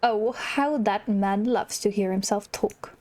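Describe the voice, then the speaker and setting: A woman's voice: mid-range voice, slow pace, darkish tone, lightly acted. A woman voicing a manipulative villainess who coaxes a young protégée in a theatrical, condescending manner, as in a game story. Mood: haughty, scornful.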